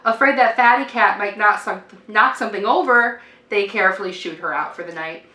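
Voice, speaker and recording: Kobieta o jasnym głosie czyta bajkę. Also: female voice, medium pitch, sharp tone. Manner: deliberate emphasis and dramatic sing-song lists. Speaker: teacher reading aloud. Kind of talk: expressive children's picture-book narration. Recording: roomy, distant microphone, faint noise.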